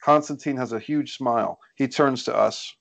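Mid-pitched man reading stage directions. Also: normal speed, vocal fry, fairly bright tone. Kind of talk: scripted narration. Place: indoors on a group video call.

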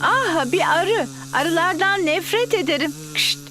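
A woman voicing a caring, gently teasing mother pig in a children's cartoon, with an encouraging, motherly way of talking. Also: extremely high pitch, lively intonation, unhurried, exaggerated voice. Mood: flustered. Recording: music behind the voice.

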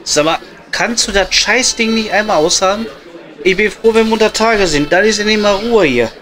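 Man playing a high-pitched blunt Ruhr coal miner. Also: moderate pace, sharp tone. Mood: annoyed. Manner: blunt, colloquial, teasing. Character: gruff, bantering working-class male coal miner in a pub. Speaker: comedy performer. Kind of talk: improvised audio comedy, casual pub banter. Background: music.

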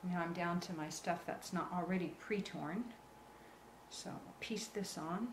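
A woman with a fairly low voice hosts a live craft tutorial. She guides step by step in a casual, encouraging way. The recording is indoors and echoey, with noticeable background noise. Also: unhurried. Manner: reassuring, encouraging. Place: indoor work table. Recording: roomy echo, clear background noise.

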